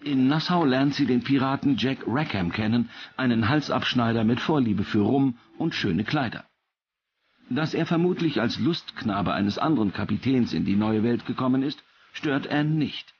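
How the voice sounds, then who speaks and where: A man's voice: medium pitch, expressive, normal speed, lo-fi sound, slight background noise. A man reading scripted documentary narration as the narrator.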